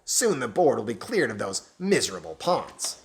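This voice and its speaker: A man's voice: medium pitch, sing-song, normal speed, vocal fry, a little hiss or hum. A man doing a smug, scheming bishop. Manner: terse, smug, confident. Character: scheming male bishop in disguise, smug and heartless.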